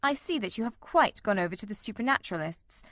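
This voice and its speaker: A woman plays a keen, commanding detective in a storybook reading. The delivery is formal, analytical and inquisitive, at a medium pitch for a female voice, in a dry recording with no room sound. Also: animated, fast talker, fairly bright tone, no echo, close to an everyday voice. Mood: wry, questioning. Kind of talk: audiobook character reading.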